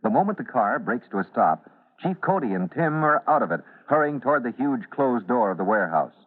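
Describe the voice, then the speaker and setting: A man's voice: medium pitch, very animated, a little fast. A man narrating a vintage radio serial dramatically, addressing listeners directly as its announcer. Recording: tinny old radio recording.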